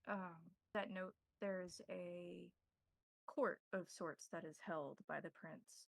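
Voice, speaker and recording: A woman running a tabletop roleplaying game as game master, narrating in a measured, formal way with deliberate wording. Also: on the low side, gravelly, slight background noise.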